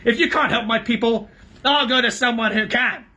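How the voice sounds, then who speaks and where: A bitter, scheming dwarf sneers and snaps in a raised, cold voice, as a man puts him on. The reading is husky and a little high. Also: normal speed, a bit bright. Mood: shouting. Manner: sneering, snapping.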